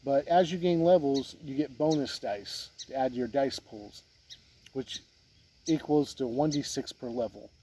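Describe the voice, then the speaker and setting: A man giving a casual, unscripted review as a video host, plain-spoken with halting phrasing, with wind on the recording. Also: medium pitch, mellow. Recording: wind noise on the mic.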